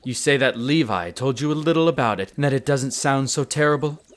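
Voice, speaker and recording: A man's voice: medium pitch, close to an everyday voice. A man playing a proud, prickly winter elf archaeologist who talks formally and haughtily in a scripted audio roleplay addressed to the listener. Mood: guarded, irritated. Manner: haughty, formal, exasperated, theatrical pauses.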